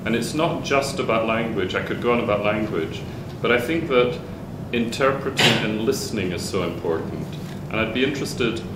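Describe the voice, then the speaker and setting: A man asking the panel a question, animated and acting out quotes. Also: normal speed, hardly any pauses. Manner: animated storyteller, acts out quotes. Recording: echoey hall, background rumble.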